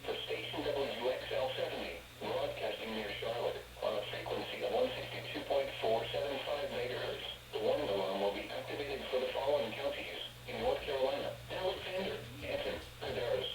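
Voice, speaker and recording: A man reading a scripted public warning test as a weather radio announcer, in a formal, measured, impersonal synthetic-sounding delivery. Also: medium pitch. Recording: noisy, echoing, distant weather radio broadcast.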